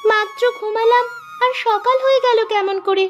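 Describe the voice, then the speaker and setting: A woman's voice: extremely high pitch, fairly even intonation, normal speed. A woman voicing a poor, earnest orphaned village girl in a moral-story cartoon. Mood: eager. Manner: exclaiming, talks to herself aloud. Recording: music behind the voice.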